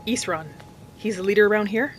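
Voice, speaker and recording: A woman's voice: medium pitch, a little fast, a little hiss or hum. A woman giving in-character commentary on a roleplay game, musing and asking questions as she goes. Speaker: gamer, host of roleplay playthrough.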